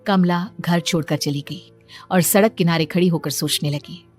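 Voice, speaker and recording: A woman's voice: on the low side, fairly even intonation, moderate pace, darkish tone, faint music behind. A woman narrator telling a scripted moral family story.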